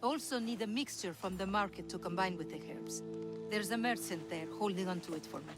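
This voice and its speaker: A woman's voice: mid-range voice, a little fast, natural voice. An actress playing a bold, self-assured young woman who yearns for heroic glory, in video game cutscene dialogue over music. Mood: earnest, burdened.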